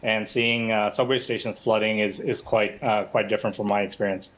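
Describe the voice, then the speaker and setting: A man's voice: lowish voice, steady tone, brisk, a bit bright. A man from Bangladesh speaking as an eyewitness guest in a live news interview, talking casually with many fillers over a remote link. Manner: casual, conversational, frequent fillers and restarts.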